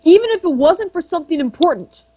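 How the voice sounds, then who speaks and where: A woman's voice: very high-pitched, lively intonation, fast, dark tone, a little hiss or hum, pitched above the performer's own voice. A woman voicing a steadfast comrade who reassures a friend, reading the lines in her own voice. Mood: reassuring. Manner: reads game dialogue aloud.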